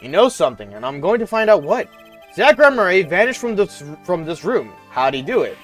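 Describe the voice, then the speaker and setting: A streamer voicing a confident male investigator who questions a witness in a lower, measured voice while reading a game aloud. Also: sing-song, a bit bright, faint music behind. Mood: probing. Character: confident male detective-lawyer, calm and probing.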